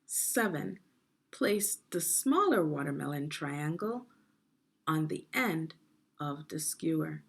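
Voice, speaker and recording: Slow, expressive woman reading to children. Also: low-pitched, gravelly, slightly rough. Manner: instructive, deliberate phrasing, prompting questions. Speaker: reader of a children's instructional book, teacher. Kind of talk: read-aloud guided reading lesson.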